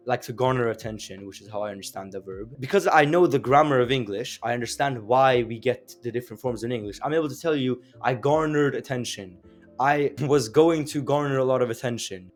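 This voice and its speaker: A young man whose first language is Azeri talks through a language lesson in an easy, conversational way, dropping in fillers and asking rhetorical questions. His voice sits at an ordinary middle pitch. Faint music plays underneath. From Azerbaijan. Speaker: language teacher. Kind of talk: casual explanatory video lesson.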